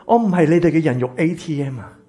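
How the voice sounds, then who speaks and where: An actor playing a wounded, embittered rich man in a Cantonese radio drama, with a Hong Kong accent and a breathy, husky voice. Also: fairly high pitch, expressive, quick pace, dark tone. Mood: fearful, angry. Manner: intense, dramatic. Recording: music behind the voice.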